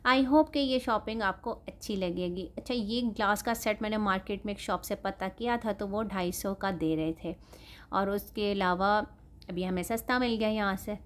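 A woman's voice: mid-range voice, moderate pace, a little hiss or hum. A woman in her thirties with a Pakistani Urdu accent, talking casually in a shopping haul vlog and frankly judging prices and quality item by item. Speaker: vlogger. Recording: voice-over with loud background music. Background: music.

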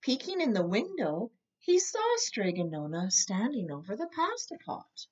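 Female storybook narrator, middle-aged, measured and animated in pitch, a little lo-fi. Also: breathy edge. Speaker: storybook reader. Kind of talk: read-aloud children's story narration. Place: indoor room with bookshelves.

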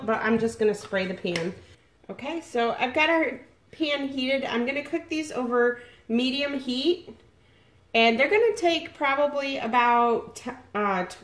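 A woman's voice: a little high, noisy background. A woman in her forties chatting to viewers as she cooks and tastes a meal in her home kitchen, filmed on a camera held at arm's length. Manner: chatty, talks to viewers directly. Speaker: cooking vlogger. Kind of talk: casual cooking walkthrough. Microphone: camera mic at arm's length. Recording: echoey kitchen with sizzling.

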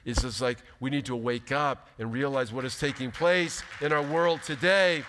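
A pastor in his fifties giving an animated, emphatic sermon from a church stage. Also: mid-range voice, moderate pace, some chatter behind, slight background noise. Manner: animated, emphatic preaching with big gestures. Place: large church stage, indoors. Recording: long hall reverb.

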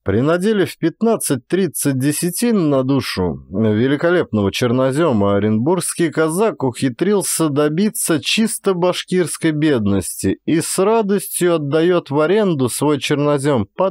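A man reading a literary story as an audiobook, with an actor's expressive, measured storytelling delivery. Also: on the high side, no room sound, clean studio sound. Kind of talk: dramatic literary audiobook narration.

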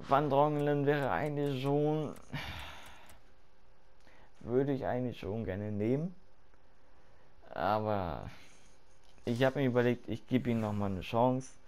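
Hoarse man, casual gaming commentary, swears freely, thinks aloud, mid pitch. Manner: loud and excited at the start, quieter later. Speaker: gaming YouTuber. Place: home room at night.

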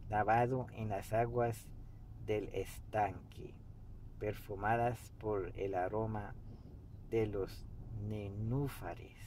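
A man in his fifties reading a book aloud meditatively in a halting way, pausing mid-sentence, recorded inside a car. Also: medium pitch, low-quality recording. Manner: halting, pauses mid-sentence, clears throat. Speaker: amateur reader of a book. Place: inside a parked car by a street. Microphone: camera an arm's length or so away. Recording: steady background noise inside a car.

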